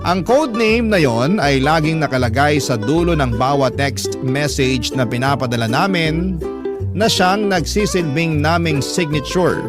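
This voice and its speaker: Actor as Filipino man reminiscing, nostalgic storytelling, slightly high voice, radio drama narration, clear guitar music behind. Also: measured pace, lightly acted. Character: reminiscing male letter-writer narrating his youth.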